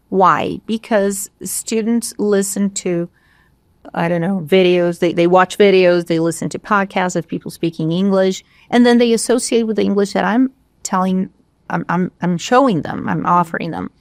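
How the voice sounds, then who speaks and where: A woman's voice: lowish voice, moderate pace, no echo. A woman from Brazil with a light Brazilian Portuguese accent, an English teacher talking animatedly and emphatically in a casual podcast chat. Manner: animated, emphatic, laughs often.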